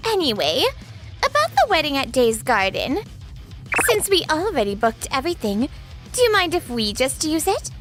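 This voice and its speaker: Woman acting selfish young fiancée, female, manga dub, breezy, high lively voice, music behind. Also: normal speed. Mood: breezy, brazen.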